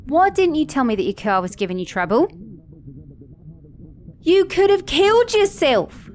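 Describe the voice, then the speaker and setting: A sassy, affectionate mechanic girlfriend with an Australian or British accent, performed by a woman for an ASMR roleplay. Her voice sits fairly high, and she speaks straight to her partner with emphatic, caring concern. There is light ambient noise behind her. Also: big pitch swings, normal speed, a little mellow. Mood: upset, scolding. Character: sassy, caring female mechanic girlfriend, scolding then tender. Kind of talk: ASMR roleplay audio drama.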